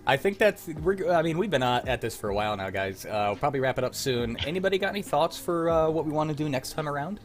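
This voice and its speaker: A man's voice: fairly high pitch, lively intonation, fast talker, hardly any pauses. A man hosting a livestream in a laid-back, casual chat, swearing now and then. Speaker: host of a livestream script-reading show. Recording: clearly noisy background.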